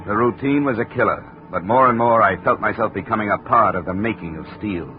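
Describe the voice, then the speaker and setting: A man's voice: on the low side, fairly quick, loud music behind the voice, close to an everyday voice. A man playing a wry hardboiled insurance investigator. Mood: dry. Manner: dry, wry, matter-of-fact. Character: wisecracking hardboiled male insurance investigator, adult. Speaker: insurance investigator lead and narrator. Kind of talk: 1950s radio drama acting with first-person narration. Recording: phone-like old radio broadcast.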